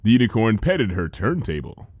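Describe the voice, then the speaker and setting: A man's voice: lowish voice, big pitch swings, moderate pace, darkish tone, no room sound. A man reading fan fiction aloud as a dramatic narrator. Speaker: fanfiction reader. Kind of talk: dramatic scripted story narration.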